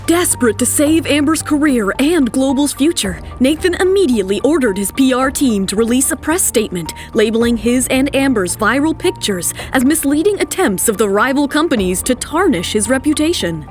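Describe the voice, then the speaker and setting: A woman's voice: high-pitched, lively intonation, moderate pace, few pauses, faint music behind. A woman narrating a scripted romance audio drama.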